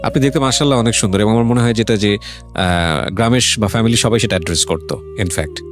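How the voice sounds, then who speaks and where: A man's voice: fairly low pitch, quick pace. A man with a Bangladeshi accent hosting a conversational interview, asking probing follow-up questions and giving short acknowledgements. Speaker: radio show host and interviewer. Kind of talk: conversational interview about life stories. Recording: music bed under the talk. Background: music.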